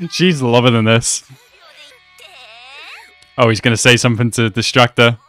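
A man's voice: mid-range voice, sing-song, slow, fairly bright tone, faint music behind. A man in his twenties giving slangy, amused reaction commentary in a casual way, close to a desk microphone. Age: 20s. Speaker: reaction video host. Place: small indoor room.

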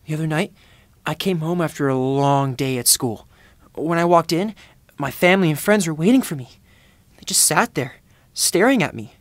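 A young man performing commercial voiceovers in a studio, with a hushed, intimate and measured delivery. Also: a little high, no room sound. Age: young adult. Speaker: voice actor. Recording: music bed behind. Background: music beds.

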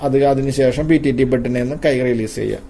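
A man giving an informal hands-on gadget demonstration, haltingly and repeating his phrases. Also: medium pitch, fairly even intonation, vocal fry, background noise. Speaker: tech video presenter.

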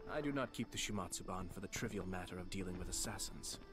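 Man acting a low-voiced, elegant, menacing young nobleman. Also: close to an everyday voice. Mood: composed, dismissive. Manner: polished, formal, unhurried. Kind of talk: anime game character dialogue. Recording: loud music under the voice.

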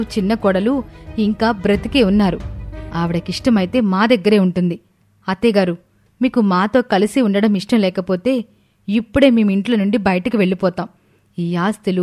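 A woman's voice: mid-range voice, darkish tone, natural voice. Female actor as loyal young daughter-in-law, firm, respectful, mid-range, background music.

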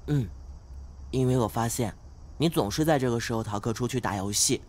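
An actor playing an earnest, oblivious teenage class monitor who talks in a preachy, naive way in an audio drama. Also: male voice, medium pitch, fairly quick, darkish tone, natural voice. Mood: earnest lecturing. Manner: preachy, matter-of-fact, naive. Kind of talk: modern audio drama acting.

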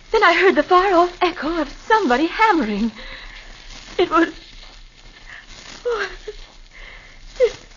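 An actress playing a frightened, grieving widow in a 1940s radio drama, anxious and pleading. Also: female voice, sits high, a bit bright. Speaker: victim's wife. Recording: dull old radio recording with faint hiss.

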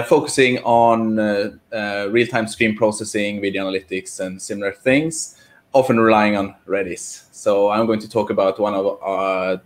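A man, chatting casually as a guest on a video call. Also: lowish voice. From Sweden. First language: Swedish. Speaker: guest speaker, software developer. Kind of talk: casual live-stream chat. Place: indoor home office, on a video call.

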